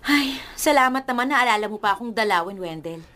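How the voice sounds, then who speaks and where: A young girlfriend, hurt and quick to snap. Her voice is high and pouting, in radio drama style. Faint music runs behind it. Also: lively intonation, sharp tone. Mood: sarcastic sulking. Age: young adult.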